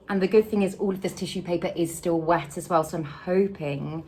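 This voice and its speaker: A young woman from the UK, a houseplant vlogger, talking in a casual, gushing way with a slightly croaky voice. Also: lowish voice, slight background noise, rough recording. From United Kingdom. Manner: gushing, excitable, repeats words, breaks into laughs. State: slightly croaky from a late night. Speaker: houseplant content creator. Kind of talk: casual unboxing vlog.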